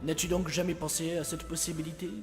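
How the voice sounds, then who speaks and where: A man voicing a wily, persuasive older male swindler in an animated film fandub, assured and coaxing. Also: fairly high pitch, fast talker, close to an everyday voice. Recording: long hall reverb with faint music.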